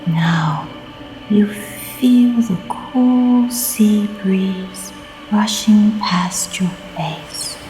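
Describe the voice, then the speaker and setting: Very slow, breathy woman guiding meditation. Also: mid-range voice, poor audio. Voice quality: soft, breathy, often near-whispered. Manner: calm, soothing, deliberate short phrases with long pauses. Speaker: guided meditation narrator. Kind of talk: scripted guided meditation. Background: music.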